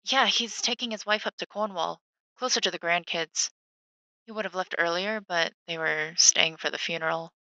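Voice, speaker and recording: A woman's voice: fairly low pitch, moderate pace, pushed and bright, no room sound, rough recording. An actress playing a supportive, chatty young woman, a protective best friend, in a naturalistic audio drama.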